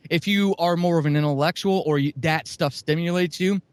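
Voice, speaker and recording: A man's voice: fairly high pitch, fairly quick, smooth, no echo. Rambling, swearing man on a dry mic. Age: middle-aged. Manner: rambling with restarts, profane. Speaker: podcast guest, audio drama writer. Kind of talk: casual podcast interview.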